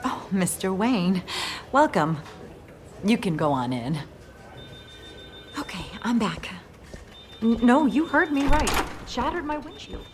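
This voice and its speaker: An actress playing a polite office secretary in a video game, speaking conversationally. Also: female voice, fairly high pitch, sing-song, takes it slowly, long pauses, noisy background. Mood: chatty.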